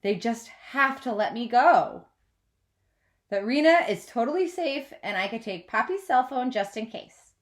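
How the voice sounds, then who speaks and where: A woman's voice: fairly high pitch, expressive, a little slow. Lady reading as a whiny seventh grade girl, storybook, earnest begging, exasperated, voice goes up and swoops around. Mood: whiny pleading. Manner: whiny, emphatic pleading.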